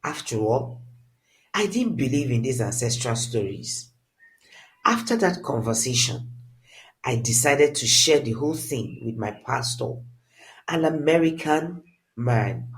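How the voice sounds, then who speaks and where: A storyteller with a mid-pitched voice delivers a dramatic scripted narrative. The pace is slow and deliberate, and the intonation swings widely and expressively. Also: animated, low-quality recording.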